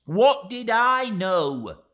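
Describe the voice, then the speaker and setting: A tiny, indignant woman from a classic storybook, acted out by a male narrator, high in pitch and swooping widely. She speaks emphatically and in distress, drawing out her words as she exclaims. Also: sing-song, extremely slow, fairly bright tone, exaggerated voice. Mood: furious, distressed. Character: tiny, passionate, indignant, tearful middle-aged woman.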